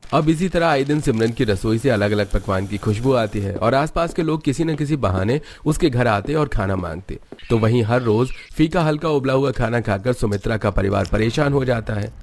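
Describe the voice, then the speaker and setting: A man's voice: medium pitch, expressive, moderate pace, a little mellow. A man narrating a scripted story as a storyteller. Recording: music under the narration.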